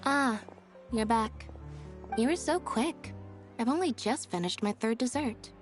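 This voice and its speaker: Game assistant: aloof young woman, deadpan, unhurried slow delivery, slightly high voice. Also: over music. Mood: unbothered. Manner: deadpan, flat. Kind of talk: English video game voice acting.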